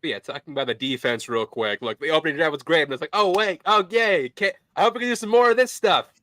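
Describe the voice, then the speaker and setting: A man co-hosting a casual sports podcast, animated and joking, often correcting himself mid-sentence. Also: a little high, fast. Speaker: podcast co-host.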